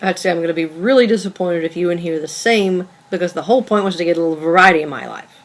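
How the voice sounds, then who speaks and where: A woman's voice: lowish voice, fast talker, close to an everyday voice. A woman playing a guarded, cutting and evasive girlfriend in a table read of a stage play, dry, blunt and conversational. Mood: disappointed.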